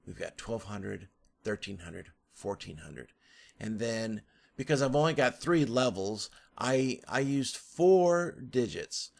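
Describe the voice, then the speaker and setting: A man's voice: medium pitch, gravelly. A man giving an informal slide tutorial as an instructor, explaining step by step with some hesitant, repeated phrasing. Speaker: course instructor.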